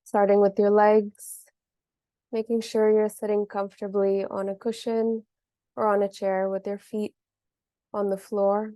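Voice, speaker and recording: Soft-edged woman guiding meditation, flat and mellow. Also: mid-range voice, no echo, studio quality. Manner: gentle, measured, with long pauses. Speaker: meditation teacher. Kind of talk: slow guided meditation.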